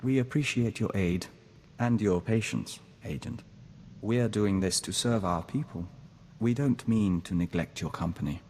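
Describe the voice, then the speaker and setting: An actor playing a calm, dutiful diplomat bound to a hive mind, speaking formally in video game dialogue. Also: male voice, fairly low pitch, long reverb tail, slight background noise, lightly acted. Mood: calm, polite. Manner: formal, measured, speaks of himself as we.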